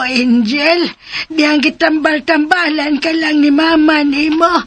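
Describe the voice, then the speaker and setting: Slow, high-voiced fretful old grandmother. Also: a bit bright. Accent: Cebuano. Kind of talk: radio drama acting.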